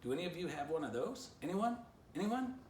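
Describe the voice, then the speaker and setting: A man voicing an excitable, scheming storybook dog who pleads and grumbles in exasperation, obsessed with catching a squirrel. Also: a little high, brisk, pitched above the performer's own voice. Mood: eager, pleading. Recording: roomy, distant mic, faint noise.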